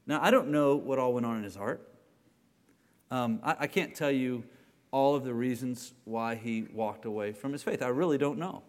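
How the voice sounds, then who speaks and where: A man's voice: medium pitch, moderate pace, long reverb tail. A man leading an informal church study, talking conversationally, often repeating words and checking in with "okay?". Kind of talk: informal sermon with questions.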